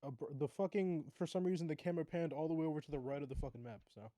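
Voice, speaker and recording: A man's voice: a little high, racing, warm tone, no echo. A man playing a tabletop roleplaying game, chatting casually with plenty of swearing and slangy grumbling. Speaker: player. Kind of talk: casual gaming chat.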